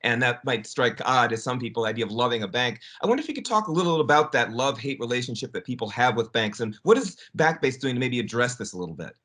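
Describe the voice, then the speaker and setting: A man hosting an interview, asking polished, quick questions. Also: mid-range voice, lively intonation, pushed and bright.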